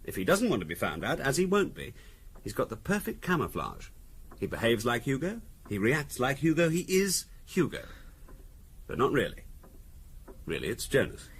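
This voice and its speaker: Very animated, musing husband. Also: male voice, medium pitch, husky. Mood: musing, speculative. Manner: musing, deliberate, conversational. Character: speculating middle-class husband, calm and quietly insinuating. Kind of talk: 1970s radio drama acting. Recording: noisy 1970s radio recording.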